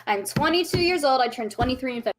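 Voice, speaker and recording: A woman's voice: sits high, animated, background noise. A woman in her twenties, a podcast guest calling in remotely, talking casually and swearing freely as she rattles off stories. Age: 20s. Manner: chatty, swears freely, fast storytelling. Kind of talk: casual chat. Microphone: remote voice call.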